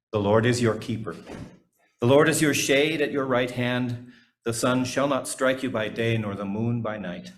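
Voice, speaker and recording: A man reading Bible passages aloud as a scripture reader in a church service. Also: medium pitch.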